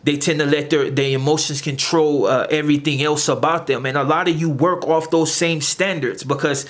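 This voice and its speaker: A man's voice: a little high, fairly even intonation, a bit bright. Man ranting at his viewers. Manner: confrontational, lecturing viewers; halting, repeats words as he searches. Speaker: channel host. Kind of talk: direct-to-camera rant.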